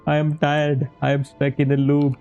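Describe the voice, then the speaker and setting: Brief: male streamer, casual let's-play chatter, wry and weary, mellow warm tone, game music behind. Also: on the high side, monotone, normal speed.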